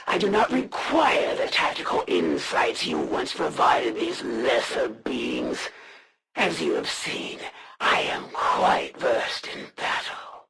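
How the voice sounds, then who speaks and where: Gravelly, haughty alien assassin. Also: medium pitch, wildly swooping pitch, a bit bright, a little hiss or hum, heavily acted. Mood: proud, solemn. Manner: formal, grandiose, solemn, deliberate menace. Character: proud, honor-bound alien assassin serving dark masters. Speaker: villain voice in a strategy video game. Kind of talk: dramatic scripted game voice acting.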